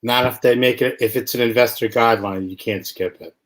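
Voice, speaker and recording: A man's voice: mid-range voice, steady tone. A man hosting a casual live show, talking loosely and rambling through explanations with plenty of fillers. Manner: loose, rambling, acts out dialogue in quick voices. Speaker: host.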